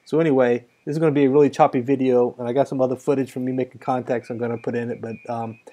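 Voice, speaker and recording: A man's voice: mid-range voice, flat delivery, rapid-fire, mellow. A middle-aged American man giving a casual, laid-back, slightly rambling walkthrough as a radio hobbyist. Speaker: amateur radio hobbyist demonstrating software.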